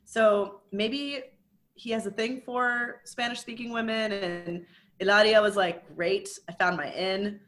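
A woman's voice: mid-range voice, a little slow. Chatty woman co-hosting a podcast. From from an Indian family. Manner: chatty, laughing, animated storytelling. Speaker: podcast co-host. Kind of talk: casual chat.

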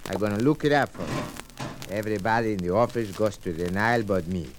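A man's voice: fairly low pitch, brisk, lightly acted. An actor playing a fussy, put-upon male clerk, complaining and self-pitying in a 1940s radio drama. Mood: harried, grumbling. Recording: faintly noisy, clicky old radio recording.

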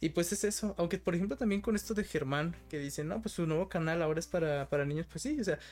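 A man with a Mexican accent chatting as a podcast guest, rambling and tagging his points with quick questions. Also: a little high, darkish tone. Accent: Mexican Spanish. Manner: rambling, keeps adding tag questions. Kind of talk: casual podcast chat.

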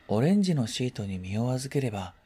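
Mellow-voiced man reading a reflective story. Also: medium pitch, very animated, fairly quick, slightly rough. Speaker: story reader. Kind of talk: scripted literary narration, first-person radio drama reading.

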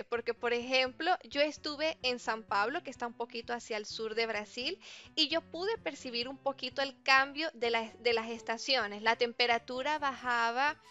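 A woman's voice: fairly high pitch, bright, forceful tone, clean tone. A woman interviewer asking questions and back-channeling often with "ujú". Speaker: interviewer, language student. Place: lives in Brazil. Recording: slightly dull lo-fi sound.

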